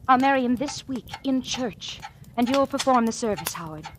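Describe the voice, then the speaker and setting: A woman's voice: on the high side, expressive, normal speed, lightly acted. An actress playing a heartbroken young woman jilted in love, in bitter, hurt 1940s radio acting. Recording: noisy old radio broadcast.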